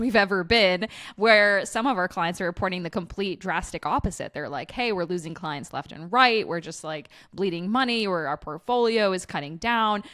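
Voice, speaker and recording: Low-voiced candid woman guest. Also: fairly bright tone, dry recording, clean studio sound. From eastern Ukraine. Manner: candid, laughs often while talking. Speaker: recruiting agency CEO, guest. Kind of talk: podcast interview.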